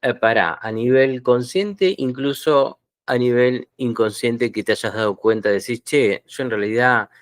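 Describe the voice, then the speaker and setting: An Argentine man asks his guest questions at a slow, deliberate pace, drawing answers out with probing follow-ups. His voice is close and dry, with no room sound around it. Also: mid-range voice, no room sound. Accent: Argentine Spanish. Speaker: interviewer host. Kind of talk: interview questions.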